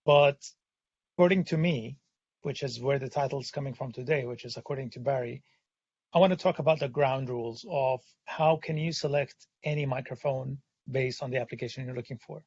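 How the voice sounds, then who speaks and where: A hoarse man who has lost his voice presents a technical webinar, pausing often with "uh" fillers. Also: mid-range voice, a little mellow, dull audio. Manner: hesitant, many "uh" fillers. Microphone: studio condenser microphone.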